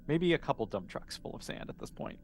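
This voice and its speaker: A man acting as game master, improvising narration in a halting way as he thinks aloud. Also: mid-range voice, sing-song, creaky, slight background noise.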